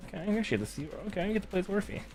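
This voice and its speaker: A man's voice: high-pitched, very animated, racing, a little mellow, soft background music. A man streaming a game, giving casual, musing commentary and reading bits of it aloud.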